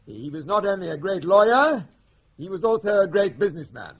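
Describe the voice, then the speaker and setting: Pompous, high-pitched English senior lawyer speechifying. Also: male voice, lively intonation, brisk, slightly rough, highs cut off, heavily acted. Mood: solemn, pompous. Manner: pompous, grandiloquent, declamatory. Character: pompous senior law partner giving a eulogy speech. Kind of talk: formal after-dinner oration, British radio drama.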